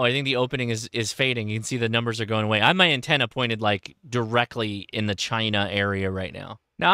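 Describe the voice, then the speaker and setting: A bright, forward-sounding American man in his forties presents in a casual, enthusiastic way. He is close on a studio microphone in a dry room, like an informal host at his desk. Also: medium pitch, clean tone, no room sound. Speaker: host, ham radio operator. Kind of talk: casual explainer and radio operating. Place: indoor home radio desk. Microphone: close boom studio microphone.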